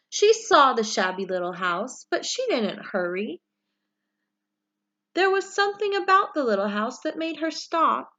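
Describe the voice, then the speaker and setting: A woman reading a children's picture book aloud, with expressive, deliberate storytelling phrasing. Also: sits high, dull audio. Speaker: story reader, read-aloud for young children.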